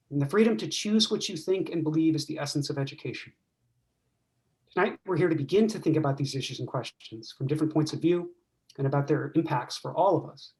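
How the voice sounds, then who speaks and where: A man's voice: fairly high pitch, low-quality recording. A man giving prepared, reflective opening remarks as host of a panel discussion. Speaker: event host.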